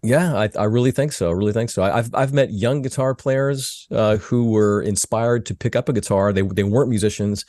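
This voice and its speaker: Man, interview host, low voice, dry close sound, chatty with repeated words. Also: brisk, few pauses, a little mellow, dry recording. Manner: chatty, repeats words, says "you know" often. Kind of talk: casual interview.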